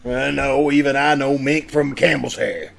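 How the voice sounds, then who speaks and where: A man playing a gruff, suspicious police inspector in an old-time radio drama, sardonic and accusing, over a video call. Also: mid-range voice, level tone, moderate pace, a crowd in the background. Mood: dry, suspicious. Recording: faintly noisy video call recording.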